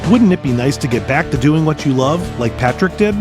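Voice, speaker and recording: Animated, higher-pitched male ad announcer. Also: brisk, over music. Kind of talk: scripted testimonial ad narration.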